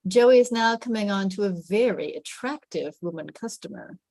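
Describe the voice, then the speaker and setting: A woman's voice: medium pitch, lively intonation, moderate pace, darkish tone, dry recording. A woman reading stage directions as scripted narration in a table read.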